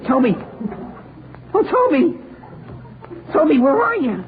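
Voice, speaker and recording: A man's voice: very high-pitched, sing-song, takes it slowly, heavily acted. A man playing an anxious, scheming adolescent boy in American 1940s-style radio comedy. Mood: earnest, flustered. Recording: noisy, narrow-band old radio recording.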